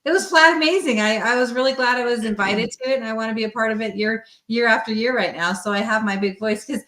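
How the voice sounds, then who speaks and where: Female lending expert, slightly high voice, talkative and emphatic, casual explainer, echoey room sound. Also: fast talker, a bit bright. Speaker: mortgage lender, guest expert.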